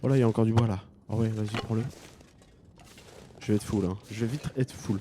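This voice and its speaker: A man's voice: on the low side, fairly even intonation, fast talker, background noise. A man with a French accent commenting a game he plays, joking and thinking aloud. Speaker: gaming streamer. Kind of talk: let's play commentary.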